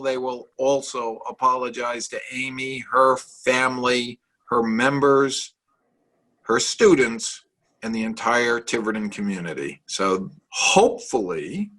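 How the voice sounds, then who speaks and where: A man's voice: medium pitch, pushed and bright, low-quality recording. A man co-hosting a union talk show, making a firm, insistent statement.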